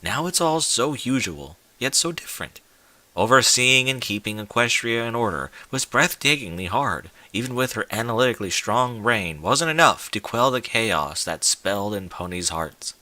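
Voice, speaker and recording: Bright, theatrical male story narrator. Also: medium pitch, animated, normal speed, dry recording. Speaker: fan fiction narrator. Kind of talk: dramatic scripted story narration.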